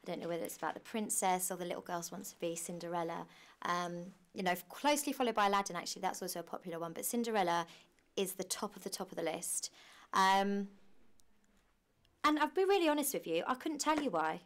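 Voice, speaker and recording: A woman's voice: fairly low pitch, fast. A young British woman talking informally and at length in a documentary interview, as a director of stage shows. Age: young adult. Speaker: pantomime director and producer. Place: small costume room indoors.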